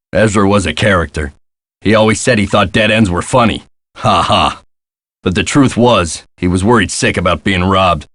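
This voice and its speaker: An actor playing a gruff, put-upon castle hotel caretaker in a video game, with a folksy, sardonic and exasperated way of talking. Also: male voice, deep voice, fairly bright tone, dry recording. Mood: wry, reflective. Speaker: caretaker and front desk clerk. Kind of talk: scripted video game character lines.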